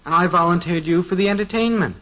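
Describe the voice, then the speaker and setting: A man's voice: high voice, quick pace, fairly bright tone. An actor playing an eager, scheming teenage son in a 1950s radio sitcom, earnest and breezy, fumbling his words. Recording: dull lo-fi old radio transcription.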